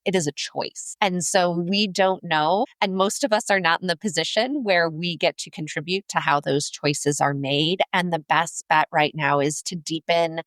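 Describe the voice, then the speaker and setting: A woman's voice: fairly low pitch, fairly bright tone, dry recording. A woman speaking as a career coach in an interview, explaining point by point in an articulate, emphatic, conversational way. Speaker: career coach, podcast guest.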